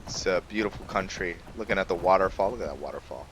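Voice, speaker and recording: A man giving casual, unscripted gameplay commentary, musing and haltingly thinking aloud. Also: low-pitched, a bit bright, a little hiss or hum, poor audio. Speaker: gamer hosting a let's play.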